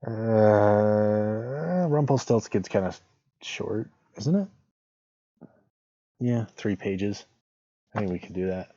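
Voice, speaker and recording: A man with a relaxed, dark voice reads and chats like a storytelling streamer. The sound is dull and somewhat echoey. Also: fairly low pitch, slow, long pauses. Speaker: streamer reading fiction. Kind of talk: storybook narration and casual stream chat. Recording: dull lo-fi audio, roomy echo.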